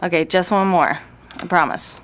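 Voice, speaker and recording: A woman's voice: on the low side, moderate pace, natural voice. A woman playing a jaded, self-deprecating night-owl composer in an audio drama, rambling bluntly with sardonic, slangy swearing. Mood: eager, defensive. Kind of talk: naturalistic audio drama interview acting. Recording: noisy room recording.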